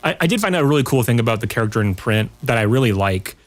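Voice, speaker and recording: A man's voice: medium pitch, animated, rapid-fire. A man talking casually as a podcast guest, in an informal way with rambling asides. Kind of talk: casual pop culture chat.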